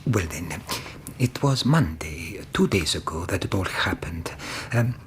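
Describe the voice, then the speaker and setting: An actor playing a polite, anxious interpreter in a radio drama, speaking earnestly and hesitantly. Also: male voice, low-pitched, expressive, a little slow, slight background noise. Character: polite, anxious Greek male interpreter, eager to be believed. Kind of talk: radio drama dialogue and storytelling.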